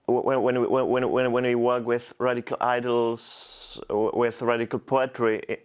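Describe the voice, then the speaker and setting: A man's voice: mid-range voice, little pitch movement, unhurried, clear, resonant tone. A man with a Czech accent, Czech being his native language, answering as a poet in an interview. He speaks thoughtfully and hesitantly, often stopping to restart words. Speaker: poet, guest.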